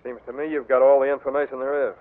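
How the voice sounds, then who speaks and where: An actor playing a nervous, defensive clerk hiding a criminal past in a 1940s radio drama. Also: male voice, medium pitch, level tone, rapid-fire, natural voice. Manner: tense and defensive. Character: nervous male clerk, former bank robber. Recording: tinny old radio broadcast.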